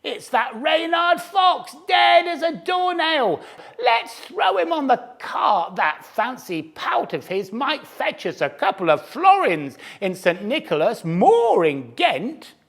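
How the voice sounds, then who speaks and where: A man voicing a coarse, gouty old male fish merchant who shouts. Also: very high voice, big pitch swings, takes it slowly, gravelly, voice pushed up, heavily acted. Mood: gloating.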